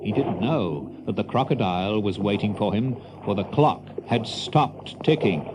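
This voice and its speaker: A man's voice: on the low side, expressive, unhurried, noisy background. A man narrating a children's adventure story in a dramatic, scripted storybook style. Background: music.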